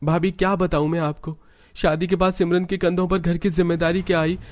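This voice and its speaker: A man's voice: high-pitched, flat delivery, brisk, a little mellow, a little hiss or hum, lightly acted. A man voicing a firm, aggrieved husband in a cartoon story, speaking emphatically. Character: put-upon men of a household, firm and aggrieved.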